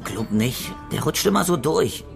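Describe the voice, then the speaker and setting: An actor playing a wary, gossipy pub companion in a radio drama, tossing out curt asides. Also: male voice, medium pitch, big pitch swings, a little slow, gravelly, music in the background.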